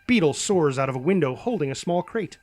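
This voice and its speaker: A man's voice: a little high, expressive, a little fast, a little mellow, faint music behind. A man narrating a screenplay, reading out scene headings and action as a scripted narrator. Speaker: narrator reading stage directions.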